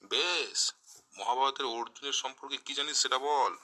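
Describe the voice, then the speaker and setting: A man's voice: mid-range voice, moderate pace, sharp tone. A man playing a stern, sarcastic schoolteacher in a comic cartoon, scolding and mocking. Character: stern, sarcastic, exasperated male village schoolteacher.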